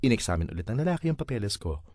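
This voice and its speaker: Filipino man, comic monologue reader, theatrical, exasperated, extremely animated pitch, dry studio-like sound. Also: very fast, no room sound. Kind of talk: dramatic comic monologue reading.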